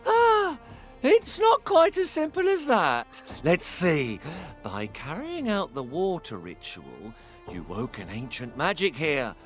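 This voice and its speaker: An actor playing a pedantic, excitable scholar of clan lore in a fantasy video game, theatrical and teasing. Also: male voice, fairly high pitch, slow, faint music behind, exaggerated voice. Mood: excited, teasing. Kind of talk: video game cutscene acting.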